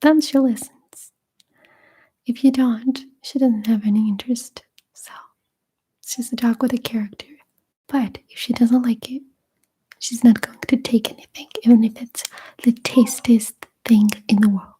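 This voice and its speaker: A young woman whispering softly in a breathy voice, a native Russian speaker chatting unhurriedly as she answers viewers' questions in an ASMR stream. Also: a little high, steady tone. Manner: soft, unhurried, chatty, laughs often.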